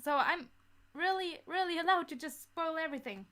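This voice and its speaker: A woman chatting casually as a podcast guest about their writing, halting and giggly as they go. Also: very high-pitched, sharp tone. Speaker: guest writer of a fan audio drama.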